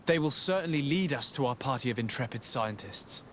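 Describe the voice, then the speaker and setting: A composed young male diplomat from a fantasy video game, played by an actor. He speaks at a middling pitch in measured, courteous and earnest phrases. A faint rumble sits behind the voice. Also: expressive, a bit bright, close to an everyday voice. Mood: composed, grave. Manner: measured, earnest, formal diction. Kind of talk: video game cutscene acting.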